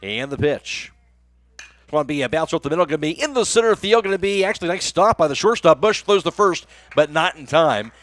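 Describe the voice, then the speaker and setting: A man's voice: a little high, lively intonation, brisk, a bit bright, no echo. A man doing live play-by-play baseball commentary for a radio broadcast. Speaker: play-by-play announcer.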